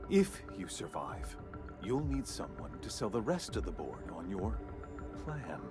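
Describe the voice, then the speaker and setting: A man's voice: on the low side, very animated, a little slow, loud music behind the voice. An actor playing a smug, callous corporate chairman in a video game, pompous and scornful in his delivery. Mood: grudging, bargaining. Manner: pompous, scornful, swooping emphasis. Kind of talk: video game cutscene acting.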